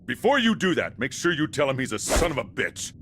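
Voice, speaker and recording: An actor playing a hot-tempered military chief with an urgent, authoritative manner in a video game. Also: male voice, mid-range voice, very animated, brisk, fairly bright tone, a little hiss or hum. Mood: agitated. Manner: urgent, authoritative, barking orders. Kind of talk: video game cutscene acting.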